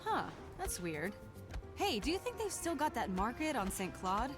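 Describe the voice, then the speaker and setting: A woman voicing a brash, hot-tempered, sardonic female robot character in a video game cutscene, blunt and expressive. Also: on the high side, normal speed, over music. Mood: eager, wistful.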